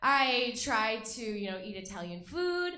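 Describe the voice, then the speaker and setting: A young woman from Russia performs stand-up on a club stage, her high voice bright and sparkly. She is bubbly and self-mocking, slipping little laughs between punchlines. The stage mic carries an echoey room sound. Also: very animated, measured pace. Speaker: stand-up comedian. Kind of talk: stand-up comedy set.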